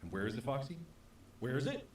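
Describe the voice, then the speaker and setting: Male gamer commentary, very slow and animated, distant roomy sound. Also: medium pitch. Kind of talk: casual commentary. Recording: roomy, distant, faint noise.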